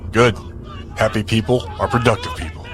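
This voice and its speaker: An actor playing a brisk, commanding man who runs a territory in an audio drama. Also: on the low side, fairly even intonation, a crowd in the background, background noise, close to an everyday voice. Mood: brisk authority.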